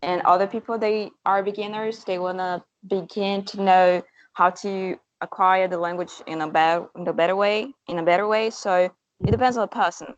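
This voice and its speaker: Young woman teacher, first language portuguese, chatty and excited, kinda dull lo-fi call sound. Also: medium pitch, normal speed. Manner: enthusiastic, talkative, with restarts. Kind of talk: casual interview answers.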